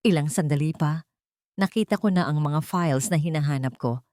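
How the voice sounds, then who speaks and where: A woman's voice: low voice, moderate pace, warm tone, no room sound, clean studio sound. A woman with a Filipino accent narrating a dramatic first-person story as an audiobook reader.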